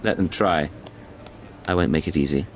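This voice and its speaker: An actor playing a hard, terse undercover intelligence agent in a video game, cool and controlled. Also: male voice, extremely low pitch, normal speed, a little hiss or hum.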